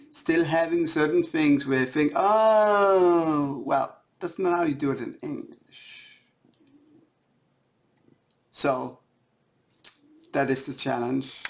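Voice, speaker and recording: A man from Denmark, a native Danish speaker with a Danish accent, talks as an author in a halting way, searching for words and repeating phrases. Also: mid-range voice, gravelly. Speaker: indie author. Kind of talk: talking to camera about own writing.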